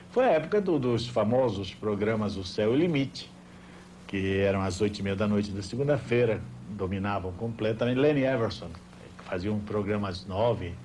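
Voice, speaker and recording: An older Brazilian man reminiscing in an unscripted interview, with animated, emphatic storytelling. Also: on the low side, slight background noise. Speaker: former television director recalling his career.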